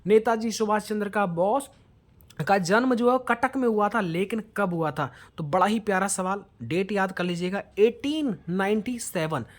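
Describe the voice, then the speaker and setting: A man's voice: high voice, moderate pace. A male coaching teacher takes listeners through a quiz-style lesson. He speaks in an instructional way, putting questions to them and dropping English words into his explanations.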